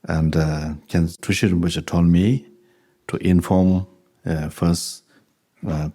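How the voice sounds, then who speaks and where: Low, mellow-voiced man teaching with an accent. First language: Tibetan. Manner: unhurried, conversational, chuckles while telling anecdotes. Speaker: Buddhist teacher. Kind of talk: dharma talk with question and answer.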